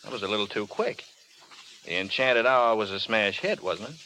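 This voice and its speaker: A fading male movie star, proud yet anxious and wounded. His voice is sharp and forward, in 1930s radio drama style, over a noisy vintage broadcast. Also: fairly low pitch, expressive, normal speed. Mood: needling, self-assured.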